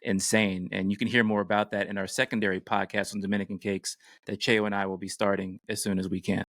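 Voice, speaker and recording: Low-voiced American man, dry close sound. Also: level tone, fast talker, a bit bright, no echo. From United States. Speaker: podcast host. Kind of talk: casual podcast chat.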